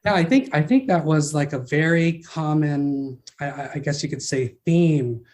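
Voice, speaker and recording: A man's voice: a little high, moderate pace, darkish tone. A man born in the Philippines, a guest in an interview, speaking casually and thoughtfully, with many fillers and restarts. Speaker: guest, brand founder.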